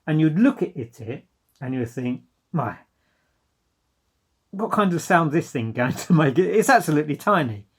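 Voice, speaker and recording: Higher-pitched man, enthusiastic reviewer. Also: lively intonation, moderate pace, pauses often, breathy edge. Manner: enthusiastic, emphatic. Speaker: hi-fi dealer presenting a product. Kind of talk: informal product review to camera.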